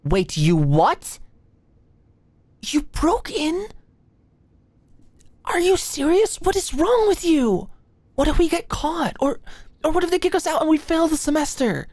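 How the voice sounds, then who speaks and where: A woman's voice: high-pitched, very animated, a little slow, long pauses, darkish tone, no echo. Anxious, rambling young student acted by a woman. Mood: alarmed. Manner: rambling, stammering, apologetic. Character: anxious, flustered young female medical student, chatty study buddy. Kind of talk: ASMR roleplay acting.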